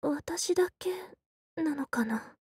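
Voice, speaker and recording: An actress playing a quiet, reserved young female soldier in a game, soft-spoken and deadpan, speaking in short halting phrases. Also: sits high, dry recording, clean studio sound, full character voice. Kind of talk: Japanese game character voice lines.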